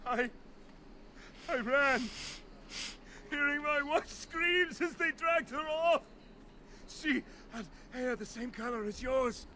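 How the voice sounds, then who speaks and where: An actor playing a crazed, despairing refugee doomsayer in a fantasy video game, declaiming fervently in drawn-out phrases. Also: male voice, very high-pitched, pushed and bright, slight background noise, exaggerated voice. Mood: broken, grieving.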